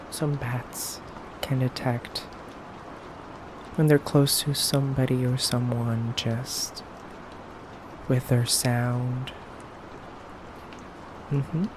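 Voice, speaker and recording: A man plays a haughty pure-blood vampire questioning his human captive in an ASMR roleplay. He talks slowly, with hesitant pauses and a teasing, condescending politeness. There is clear ambient noise behind the voice. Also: mid-range voice, steady tone. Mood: explaining, measured. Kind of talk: intimate ASMR roleplay monologue.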